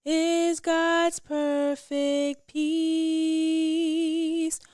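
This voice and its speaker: High-voiced woman singing a very slow hymn. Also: monotone, darkish tone, no room sound, studio quality, faint music behind. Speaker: hymn singer.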